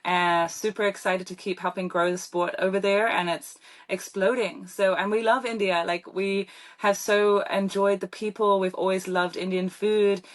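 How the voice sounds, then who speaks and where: A woman's voice: medium pitch, fairly even intonation, moderate pace, few pauses. A young woman with a British accent, chatting as a guest in an interview with many fillers and restarts. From Germany. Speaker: guest, professional pickleball player. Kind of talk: casual interview chat.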